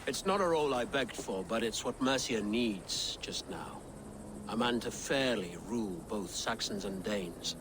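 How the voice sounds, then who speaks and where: Measured, worried Saxon nobleman played by a man. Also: on the low side, background noise, lightly acted. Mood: earnest. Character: anxious, earnest Saxon nobleman and claimant king. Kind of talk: video game cutscene acting.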